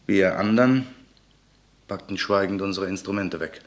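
A man's voice: low voice, normal speed, no room sound, close to an everyday voice. An actor playing a brooding man waiting to take revenge, narrating his memories tensely in a radio play. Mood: grim. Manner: tense, grave inner monologue. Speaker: narrator and main character.

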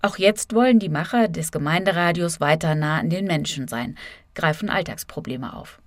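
Woman, lowish pitch, radio correspondent, scripted feature narration, translated quotes. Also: normal speed, dry recording.

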